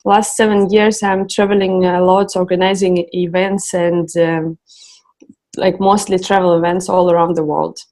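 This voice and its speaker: Woman, halting interview English. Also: fairly low pitch, flat delivery, darkish tone. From Russia. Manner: hesitant, many fillers and restarts. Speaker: guest, co-founder of a travel project.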